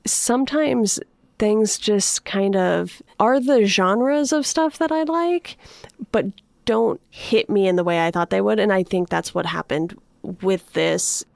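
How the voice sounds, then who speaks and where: A woman's voice: mid-range voice, slow, creaky, a little mellow, dry recording, studio quality. A woman co-hosting a podcast, chatting casually about books. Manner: frequently laughs while talking. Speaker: podcast co-host. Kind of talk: casual book discussion.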